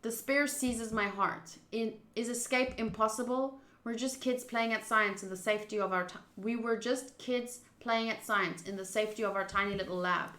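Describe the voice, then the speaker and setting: A woman's voice: on the high side, steady tone, normal speed, hardly any pauses, sharp tone. A woman streamer reading a visual novel aloud expressively, with casual asides. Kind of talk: dramatic read-aloud of game text with casual asides. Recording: roomy, echoing sound.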